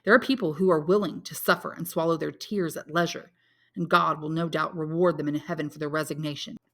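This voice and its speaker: An honorable, lovelorn young man from a classic novel read by a woman, earnest and intense. The voice sits fairly low with a creaky edge, solemn and grimly resolute. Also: fairly even intonation, brisk, fairly bright tone. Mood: urgent, challenging. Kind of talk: dramatic audiobook character reading.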